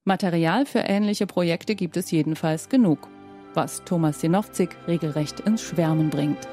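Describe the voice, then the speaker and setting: A woman narrates a news report in a measured, scripted tone. Her voice sits a little low and sounds warm and mellow. Faint music runs quietly beneath her.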